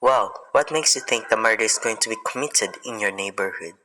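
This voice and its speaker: A placating, condescending police sergeant played by an actor in a radio thriller. The voice is bright and forceful, with an offhand, unhurried manner that brushes the caller off. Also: medium pitch, slight background noise, natural voice, poor audio. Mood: patronizing, dismissive. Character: unhurried, patronizing, dismissive city police sergeant on phone. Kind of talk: old-time radio thriller acting.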